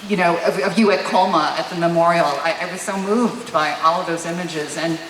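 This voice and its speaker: A woman, a history teacher, asking an earnest, halting question from the audience at a panel. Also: fairly low pitch, fairly bright tone, a little hiss or hum. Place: auditorium panel event. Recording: roomy hall, mic at a distance.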